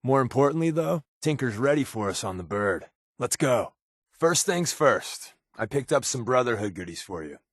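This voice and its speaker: A man voicing a wry, secretive spy companion in a video game, with casual, sardonic, deadpan quips. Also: medium pitch, normal speed, no room sound, studio quality. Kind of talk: game companion dialogue lines.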